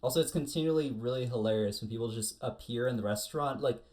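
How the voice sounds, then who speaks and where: Male podcast co-host, casual and mid-pitched. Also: fast, a little mellow. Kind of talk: casual podcast chat about anime.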